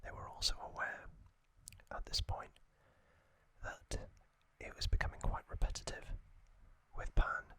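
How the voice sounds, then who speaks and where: Slow, bright whispering ASMR man. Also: no echo. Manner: hushed, unhurried, informal recap. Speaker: host of an ASMR trivia channel.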